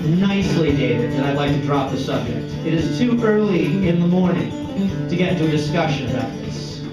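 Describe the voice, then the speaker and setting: An irritated young wife, voiced by a man reading a novel aloud, firm and condescending. The voice is distant and very echoey, with loud guitar music behind it. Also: a little high, lively intonation, a little fast, natural voice. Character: irritated, condescending wife, woman of twenty-nine.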